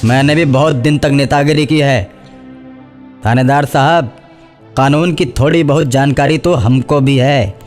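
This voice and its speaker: A man's voice: medium pitch, unhurried, faint music behind, lightly acted. A man playing a firm, level-headed village headman who reasons and protests, in a cartoon horror story. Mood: indignant, firm. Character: assertive, level-headed male village headman, indignant at injustice. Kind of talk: Hindi animated horror story acting.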